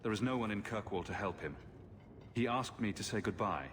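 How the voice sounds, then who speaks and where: An actor playing a steady hero who speaks calmly and reassuringly. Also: male voice, fairly low pitch, fairly quick, a little hiss or hum, lightly acted.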